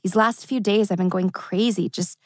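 A woman's voice: medium pitch, fairly even intonation, moderate pace, darkish tone, no room sound, natural voice. A woman playing an anxious, wry teenage girl, speaking hesitantly in a TV teen drama table read. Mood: anxious, unsure. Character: anxious, wry teenage girl torn over her family.

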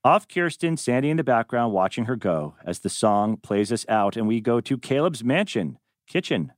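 A man's voice: medium pitch, lively intonation, normal speed, no echo, clean studio sound. A man narrating a screenplay's stage directions at a table read. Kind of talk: animated script reading.

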